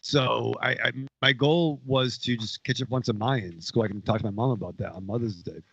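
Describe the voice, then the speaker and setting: A man in his forties with a gravelly, bright voice rambles through a casual story, peppering it with curses. The sound is dry and a little dull, like a close home podcast mic. He co-hosts a loose, chatty show. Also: mid-range voice, fairly quick, no room sound. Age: 40s. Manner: rambling storytelling, heavy swearing. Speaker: podcast co-host. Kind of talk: casual chat.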